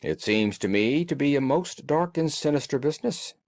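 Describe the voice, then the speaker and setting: A man's voice: on the high side, expressive, moderate pace, darkish tone, no room sound, voice pushed up. A man voicing a detective's loyal, sober companion in an audiobook, earnest and plain-spoken in a formal way. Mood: grave.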